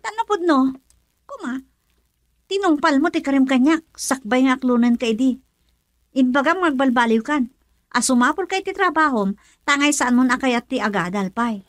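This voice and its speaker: Emotional young filipina, ilocano accent, high voice, dry close sound, radio drama. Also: female voice, animated, moderate pace, long pauses, no echo. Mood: hurt, reproachful. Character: young woman, emotional niece and sweetheart Linlin.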